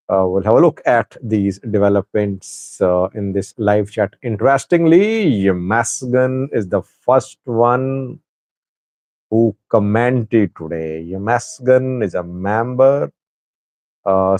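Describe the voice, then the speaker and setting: A man whose first language is Urdu hosts a live-stream news chat, greeting viewers and pausing often with fillers. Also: medium pitch, a little mellow, dry recording. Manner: unhurried, halting with many fillers. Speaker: news channel host.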